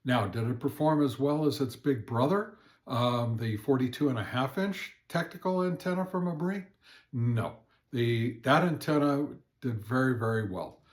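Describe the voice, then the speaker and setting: A man with a middle-pitched voice gives an informal product review. His delivery is halting and hesitant, feeling its way through each point. The sound is dry, with very little room around it. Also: dry recording. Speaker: amateur radio product reviewer and host.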